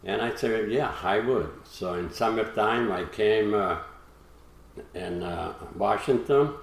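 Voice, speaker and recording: An older man from Croatia with a Croatian accent, telling his life story in an interview in halting, broken English with many pauses and fillers. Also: fairly low pitch, gravelly, echoey room. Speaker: guest, retired fisherman.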